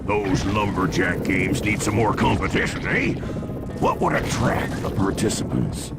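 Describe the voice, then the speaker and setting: Big braggy lumberjack baddie for a game, talks real slow and croaky, folksy self-satisfied muttering. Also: male voice, lowish voice, lively intonation, over loud music. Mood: smug musing. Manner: mumbling to himself, bragging, folksy. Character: burly, boastful male lumberjack villain, self-satisfied. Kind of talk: animated video game villain monologue.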